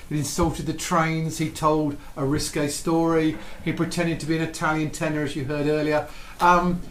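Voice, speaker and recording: A man telling a history story with enthusiasm, tired and losing his voice. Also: a little high. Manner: warm, enthusiastic, with many restarts. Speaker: radio history presenter. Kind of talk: storytelling talk. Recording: echoey room with faint ambient noise.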